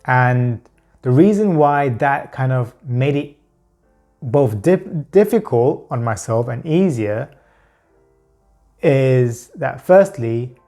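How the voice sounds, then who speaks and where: A man who stutters, talking about his experiences with long blocks, halting pauses and repeated words. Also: medium pitch, animated, dark tone, faint music behind. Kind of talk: personal talk to camera.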